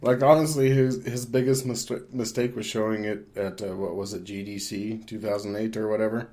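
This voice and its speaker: A man with a somewhat low voice speaks as a podcast co-host. His talk is casual and opinionated, delivered in a measured, matter-of-fact manner. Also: steady tone, a little mellow.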